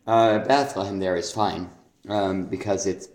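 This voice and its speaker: Hoarse low-voiced man teaching, echoey room. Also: steady tone, darkish tone, a little hiss or hum. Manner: hesitant, many ums, speaks lyrics aloud slowly. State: hoarse from a cold, laryngitis. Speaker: songwriting teacher. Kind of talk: spoken feedback on a student's lyrics.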